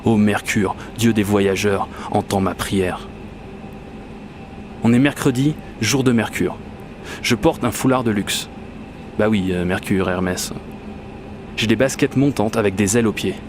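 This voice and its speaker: A man acting out an obsessive, whimsical dreamer in a radio drama. His low voice confides in a colloquial, self-mocking way, like a private monologue, over clear urban and transit ambience. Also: a little slow, pauses often, background noise, natural voice. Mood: solemn incantation, then earnest, absurd. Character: obsessive, whimsical Parisian man confiding a magical commuting quest. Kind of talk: first-person radio fiction monologue. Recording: urban and train ambience behind voice.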